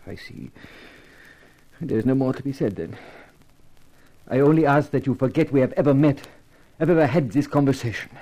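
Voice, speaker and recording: An actor playing an anxious, courteous Frenchman, speaking gravely and hesitantly in a 1950s radio drama. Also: medium pitch, normal speed, long pauses, mellow, lightly acted, rough recording. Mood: grave, pleading. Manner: grave, hesitant, polite, earnest. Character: anxious, courteous Frenchman seeking his lost sister.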